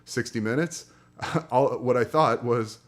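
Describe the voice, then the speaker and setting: A man with an average-pitched voice co-hosts a radio show. He chats in a casual, unpolished way, full of slang and profanity. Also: unhurried. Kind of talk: casual chat.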